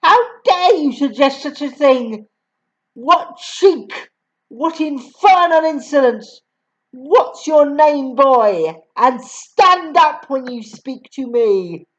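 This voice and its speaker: A woman's voice: high-pitched, slow pace, dull audio. A woman voicing a huge, bullying female headmistress who barks and snaps at children. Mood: outraged. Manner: barking, snapping, loud.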